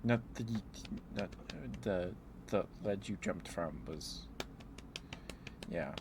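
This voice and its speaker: A man streaming ASMR, chatting in a soft, drowsy, rambling way close to the microphone. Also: low voice, slow pace, background noise. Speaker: live streamer. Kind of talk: rambling ASMR livestream chat.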